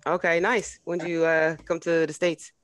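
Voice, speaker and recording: A woman hosting an interview podcast, asking questions in a relaxed, slangy way and repeating words as she goes. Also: lowish voice, moderate pace, no room sound. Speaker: podcast host. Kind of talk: casual interview chat.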